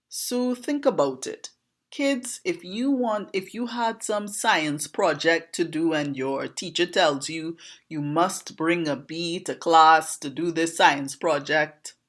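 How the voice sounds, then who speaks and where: A woman with a Trinidadian accent and a slightly hoarse voice, teaching informally in a deliberate, questioning way, like an educator talking to viewers. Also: sits low. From Trinidad and Tobago. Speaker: language educator, presenter. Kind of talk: informal explanatory lesson to camera.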